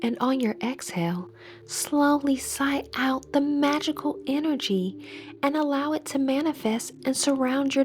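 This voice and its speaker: A woman leading a guided meditation as host, giving measured, soothing instructions in a soft, breathy voice that trails toward a whisper. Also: sits high.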